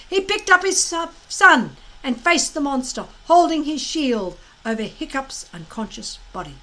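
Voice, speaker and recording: A woman reading a children's story aloud as expressive narration, with dramatic emphasis and deliberate phrasing. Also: high-pitched, poor audio.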